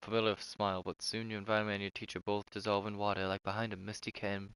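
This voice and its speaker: A man's voice: lowish voice, little pitch movement, quick pace, a bit bright, clear, resonant tone, no room sound. A man streaming a video game, commenting casually and reading the story text aloud.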